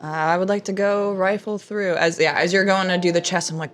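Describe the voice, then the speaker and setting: A woman's voice: fairly low pitch, steady tone, fairly quick. A woman talking casually as a player in a tabletop roleplaying game. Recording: background music under the voice. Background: music.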